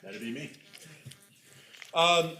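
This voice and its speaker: Loud plainspoken man chairing a meeting. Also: on the high side, animated, takes it slowly, soft background music. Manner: loud, plainspoken, with frequent fillers. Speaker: board chair. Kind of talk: public meeting remarks. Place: public meeting room. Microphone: table microphone.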